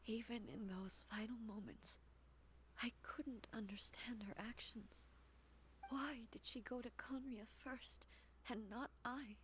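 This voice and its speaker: An actress playing a solemn, grieving immortal female ruler in a video game, speaking in a hushed, measured, formal way. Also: a little high, fairly even intonation, slight background noise, rough recording.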